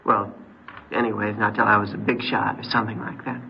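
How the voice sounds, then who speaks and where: An actor playing a troubled, defiant teenage boy in a 1950s radio drama, speaking in a halting, youthful way. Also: lowish voice, level tone, creaky. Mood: reflective, boyish. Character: troubled, defiant teenage boy confessing to theft and running away. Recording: noisy, muffled old radio broadcast.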